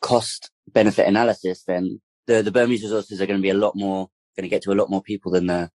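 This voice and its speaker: A man's voice: low voice, flat delivery, quick pace, darkish tone, no room sound. A man speaking as a guest campaigner in a casual interview, rambling with lots of "like" and "kind of". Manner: rambling, full of fillers and restarts.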